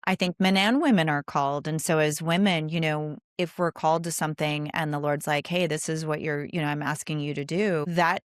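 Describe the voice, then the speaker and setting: A woman's voice: low voice, steady tone, brisk, few pauses, dry recording, clean studio sound. A woman hosting a podcast interview, speaking conversationally in an earnest, effusive way. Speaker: podcast host.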